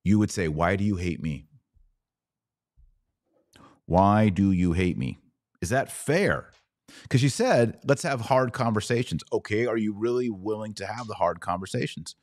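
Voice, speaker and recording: A man's voice: lowish voice, animated, unhurried, long pauses, a little mellow. A middle-aged American man hosting a podcast, giving emphatic, argumentative commentary with rhetorical questions. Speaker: podcast host. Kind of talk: opinion commentary on played clips.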